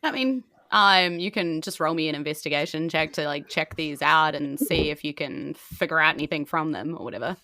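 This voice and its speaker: A woman's voice: low voice, a little fast, few pauses, sharp tone, dry recording. A woman narrating a tabletop game as game master in a casual, giggly, improvised way. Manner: giggly, informal.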